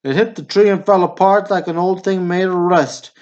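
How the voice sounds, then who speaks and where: A man acts out an awkward, nervous small-town fellow in a radio drama. His voice sits high, and he sounds earnest, pleading and easily worked up. The recording is a little dull and lo-fi. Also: moderate pace, a little mellow, close to an everyday voice. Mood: agitated confession. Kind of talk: stage-play acting in radio drama.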